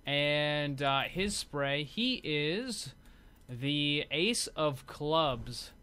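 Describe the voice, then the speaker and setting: Bright-voiced casual gaming video guy. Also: fairly high pitch, expressive, extremely slow, smooth. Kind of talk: casual unscripted game showcase commentary.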